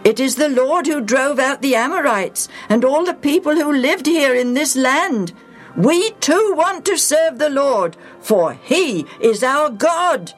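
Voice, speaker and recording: A woman's voice: high-pitched, animated, unhurried, soft background music, pitched above the performer's own voice. Woman voicing an eager crowd making promises. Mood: earnest. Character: crowd of eager, devoted townspeople.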